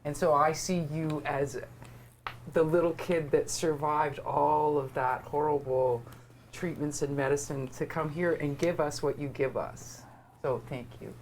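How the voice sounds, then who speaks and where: Woman with a deep voice, supportive and emphatic, unscripted conversation, light room noise behind her. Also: fairly even intonation, unhurried, darkish tone. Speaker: livestream participant, breast cancer survivor.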